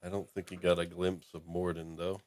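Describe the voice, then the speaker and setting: A man's voice: deep voice, little pitch movement, brisk, darkish tone. A man hosting a podcast, giving a casual, rambling recap of a TV episode with frequent ums and uhs. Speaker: podcast host.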